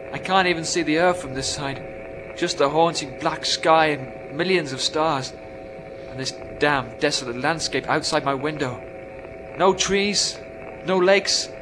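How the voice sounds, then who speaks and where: An actor playing a lonely, stranded astronaut fraying on a moon base in a radio drama, emphatic and agitated. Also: male voice, fairly high pitch, noisy background, close to an everyday voice. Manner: emphatic, self-addressing, agitated.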